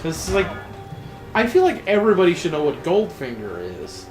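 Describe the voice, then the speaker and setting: Casual joking male gamer co-host, animated delivery, roomy sound with game music underneath. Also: high voice, moderate pace. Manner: rambling, joking, lots of fillers. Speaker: let's play co-host. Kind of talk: casual gameplay commentary.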